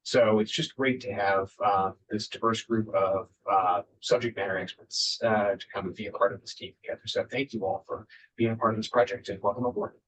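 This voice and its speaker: A man's voice: steady tone, fairly bright tone. A man chairing a town committee meeting, speaking with frequent fillers and restarts. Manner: halting, many fillers and restarts. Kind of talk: public meeting remarks. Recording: muffled meeting recording with faint rumble.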